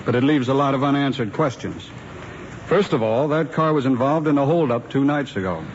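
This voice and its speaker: A man's voice: medium pitch, lively intonation, a little fast, lightly acted. Firm police lieutenant played by a man, muffled recording. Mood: accusing. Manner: probing, dry, methodical. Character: firm, skeptical male police lieutenant questioning a suspect. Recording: dull, noisy old radio recording.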